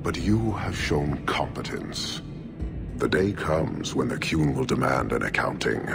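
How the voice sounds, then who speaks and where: A man playing a stern, imposing giant warlord in a video game, speaking in measured, formal, contemptuous pronouncements. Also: a bass voice, expressive, vocal fry, loud music behind the voice, heavily acted. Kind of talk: video game cutscene dialogue.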